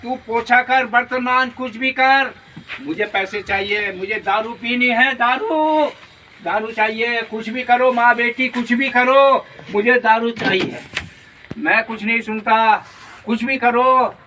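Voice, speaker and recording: A man's voice: very high voice, takes it slowly, pushed and bright, a little hiss or hum, heavily acted. A man playing a drunken, abusive father who keeps insisting on money for liquor, recorded a little distant from the mic. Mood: demanding. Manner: insistent, repeating the same demands over and over. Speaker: actor in a home-made family drama.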